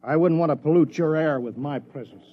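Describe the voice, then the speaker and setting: An actor playing an arrogant, impatient, trigger-happy rich hunting client in a 1950s radio drama, brash and sullen. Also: male voice, on the high side, fairly quick, natural voice. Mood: petulant. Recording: dull vintage 1950s radio recording.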